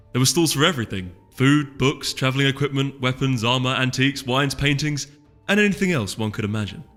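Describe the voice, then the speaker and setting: A man's voice: mid-range voice, fairly bright tone. Male story narrator in a reverberant space. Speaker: audiobook reader.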